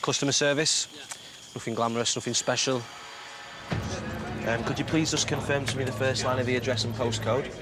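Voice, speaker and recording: A man's voice: mid-range voice, steady tone, fairly quick, long pauses, loud music behind the voice. A young man talking casually and self-deprecatingly as a reality show contestant outdoors. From Stockport, near Manchester. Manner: plain-spoken, self-deprecating. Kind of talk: casual chat and confessional. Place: outdoors in jungle. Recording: outdoor noise.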